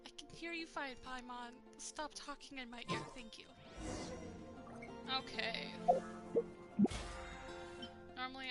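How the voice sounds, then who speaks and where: A young woman with a soft voice, chatting casually and laughing as she streams a video game. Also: a little high. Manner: rambling, chatty, laughs often. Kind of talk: casual gameplay commentary. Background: game music.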